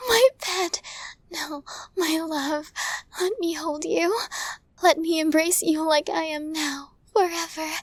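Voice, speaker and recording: A woman performs a possessive yandere mafia lady in an intimate ASMR roleplay. Her voice is fairly high and breathy, with very slow, deliberate and formal phrasing. She is lovestruck and intense, recorded close and dry. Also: no echo. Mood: tearful joy. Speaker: voice actor in romantic audio roleplay.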